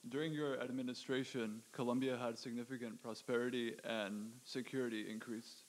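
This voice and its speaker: A young man asking a question from the audience at a university lecture in a measured tone. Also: mid-range voice, steady tone, poor audio. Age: young adult. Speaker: student questioner. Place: large lecture hall. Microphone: standing audience microphone.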